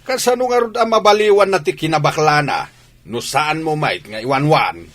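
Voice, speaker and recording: A man's voice: on the high side, animated, moderate pace, fairly bright tone. A man with an Ilocano accent playing a stern, intolerant, scolding father in a radio drama. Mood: angry, scolding.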